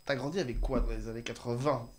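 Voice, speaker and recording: Brief: fast-talking host, mid pitch, friendly banter, playful questions. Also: steady tone. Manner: friendly, quick, jokes with the guest. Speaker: interview host. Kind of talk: casual interview questions.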